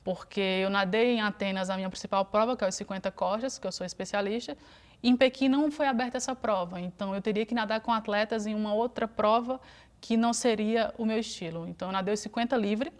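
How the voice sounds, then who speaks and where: A woman's voice: medium pitch, fast. Brazilian woman swimmer in a studio interview. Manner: relaxed and reflective, frequent fillers. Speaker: interview guest, Paralympic swimmer.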